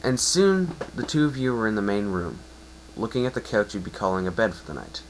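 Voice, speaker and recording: A man's voice: fairly low pitch, sing-song, a little hiss or hum, low-quality recording. A man narrating a fan story as an amateur reader, often stumbling and correcting himself. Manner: halting, self-correcting delivery.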